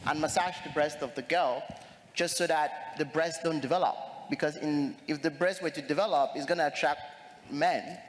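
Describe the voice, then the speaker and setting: A young man from Cameroon speaking earnestly as a conference panelist, with frequent fillers and restarts. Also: a little high. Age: young adult. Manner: earnest, hesitant with many fillers. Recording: long reverb of a large hall.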